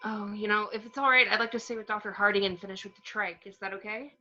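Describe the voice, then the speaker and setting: Bright-voiced, eager woman scientist, acted by a woman. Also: medium pitch, fairly even intonation, quick pace, lo-fi sound, slight background noise, lightly acted. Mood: casual, insistent. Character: eager, keen-minded adult woman scientist. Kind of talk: table-read acting.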